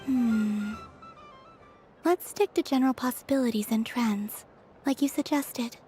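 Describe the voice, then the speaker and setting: An actress playing a gentle, reserved young woman in a video game, soft-spoken, curious and sparing with words. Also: on the high side, measured pace, lightly acted. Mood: calm, accepting. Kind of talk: video game character acting. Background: music.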